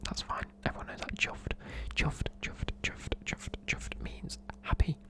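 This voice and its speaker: Whispering British ASMR presenter. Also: a little slow. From United Kingdom. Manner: informal, chatty, matey. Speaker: presenter explaining slang.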